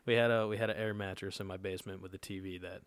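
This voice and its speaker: A young man of nineteen, his voice sitting very low, recounts a story in easygoing podcast chat. He keeps it loose and conversational, often tossing a quick check-in to his co-hosts. The sound is dry and close, with no room around it. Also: fairly even intonation, clear, resonant tone, no room sound. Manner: laid-back storytelling that often checks in with the listeners. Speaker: podcast co-host. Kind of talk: casual chat, telling a personal story.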